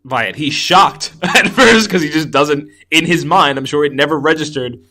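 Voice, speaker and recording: A man's voice: a little high, lively intonation, normal speed, sharp tone. Enthusiastic, rambling man chatting casually. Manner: enthusiastic, rambling, laughs readily. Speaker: podcast co-host. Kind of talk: casual film review chat.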